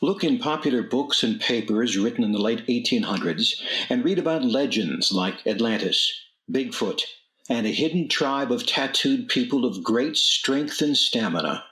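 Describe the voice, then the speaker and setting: A man hosting a radio theatre show, reading scripted narration in a measured, dramatic presenter's manner. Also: medium pitch, lively intonation, fairly bright tone. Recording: roomy, echoing voice recording.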